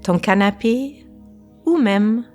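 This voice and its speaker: Slow-paced woman guiding meditation, mellow voice. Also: mid-range voice. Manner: calm, unhurried, pausing between short phrases. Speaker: guided meditation teacher. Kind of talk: slow guided relaxation meditation. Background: faint music.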